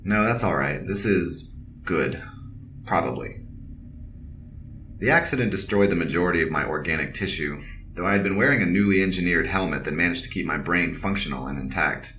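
Robotic-seeming suited officer: bright-edged bass, polite, measured and deadpan, for sci-fi audio drama. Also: male voice, slight background noise. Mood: reserved, matter-of-fact. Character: reserved, polite male cyborg officer in armored suit.

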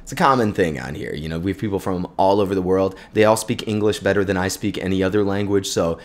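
A man in his thirties casually talking through viewer photos at home, relaxed and enthusiastic, with lots of fillers. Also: low-pitched, fast talker, soft background music. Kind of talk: casual chat. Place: bright living room at home. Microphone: desk condenser mic in frame.

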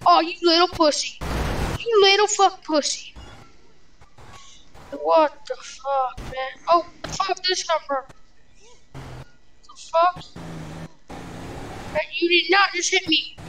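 A woman with an extremely high-pitched voice plays a roleplay game. She swears freely and taunts the other players with brash trash talk, improvising as she goes. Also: slow pace, long pauses, a bit bright, a little hiss or hum, rough recording.

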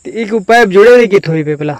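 A man's voice: high voice, expressive, quick pace, no echo. A man touring a farm outdoors, asking questions in casual chat while filming on a handheld phone. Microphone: handheld phone camera.

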